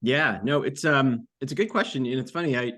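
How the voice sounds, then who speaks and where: A man's voice: medium pitch, brisk, fairly bright tone. A man in his thirties talking casually and discursively as an interview guest, full of informal asides. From United States, born in France. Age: 30s. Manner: rambling, informal, frequent "like" asides. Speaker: podcast guest, author.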